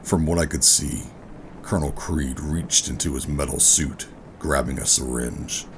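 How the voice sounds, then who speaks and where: Very deep, gravelly brooding operative. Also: male voice, noisy background. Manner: brooding, dramatic, deliberate. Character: bitter, defiant rogue sci-fi operative, hardened and wounded. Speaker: narrator and lead character. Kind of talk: noir first-person audio drama narration and dialogue. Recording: dramatic music behind the voice.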